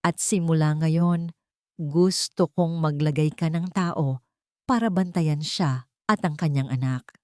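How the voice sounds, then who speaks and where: A woman's voice: low voice, takes it slowly, a little mellow, dry recording, studio quality. A woman voicing a commanding, protective wealthy male boss in a story, speaking firmly. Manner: firm, full of command.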